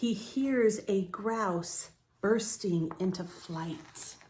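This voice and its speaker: A woman reading a picture book aloud to young children as a storytime host, with deliberate, drawn-out phrasing. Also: mid-range voice, darkish tone, slight background noise.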